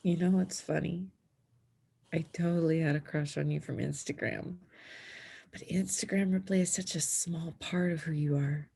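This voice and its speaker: A woman reading as an earnest teenage girl in love in a coming-of-age drama. Her voice is noticeably husky, with a gravelly creak. The delivery is natural, conversational table-read acting. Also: deep voice, steady tone, normal speed, mellow, full character voice. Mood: tender. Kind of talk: screenplay table read, acted dialogue.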